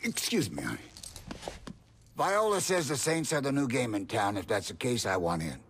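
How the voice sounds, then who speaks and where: A man's voice: mid-range voice, big pitch swings, normal speed, a bit bright, a little hiss or hum. An actor playing a smug, unflappable tough-guy mayor in a video game, talking in a wry, folksy, self-assured way.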